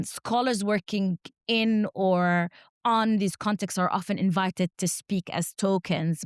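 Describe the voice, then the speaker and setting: A woman whose first language is Arabic, speaking as an academic guest in a reflective interview, measured and often ending points with a questioning "right?". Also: medium pitch, clear, resonant tone, no echo, clean studio sound. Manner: measured, rhetorical "right?" tags.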